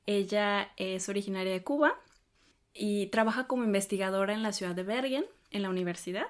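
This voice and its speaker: A woman's voice: mid-range voice, a little fast. A woman hosting a podcast interview. She asks her questions with small fillers and restarts. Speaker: podcast host. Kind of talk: scripted intro, then casual interview.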